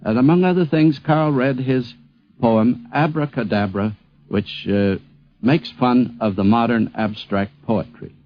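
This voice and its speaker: A man's voice: medium pitch, unhurried, dry recording. A man speaking emphatically and repeating words for effect as he reminisces and explains, on a 1950s radio programme. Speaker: interviewee recalling a poet friend. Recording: dull 1950s radio recording.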